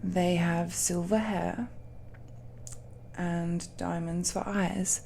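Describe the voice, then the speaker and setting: A woman playing an affectionate, nervous dragon-blooded young woman in an intimate romantic audio roleplay, speaking softly and haltingly. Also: fairly low pitch, steady tone, vocal fry, a little hiss or hum, exaggerated voice. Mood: adoring, earnest. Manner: hesitant, halting confession with nervous laughs and sighs.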